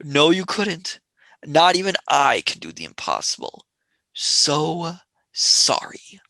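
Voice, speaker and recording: A voice actor playing a sardonic young space traveller. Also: female voice, low voice, sing-song, takes it slowly, pushed and bright, dry recording, heavily acted. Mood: wry. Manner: sarcastic, wheedling. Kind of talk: amateur radio play.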